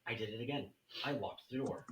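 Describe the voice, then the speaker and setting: A man playing a scared, nervous young man hiding from a killer, hushed and husky in a horror table read. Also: on the low side, fast talker, poor audio. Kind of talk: screenplay table read acting.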